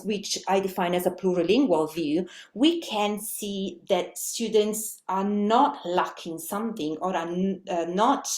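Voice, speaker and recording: A middle-aged woman whose first language is Italian speaks English with an Italian accent in a somewhat low voice. She gives a webinar presentation, thinking aloud, with frequent ums and restarts. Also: darkish tone. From Italy. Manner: halting, many fillers and restarts.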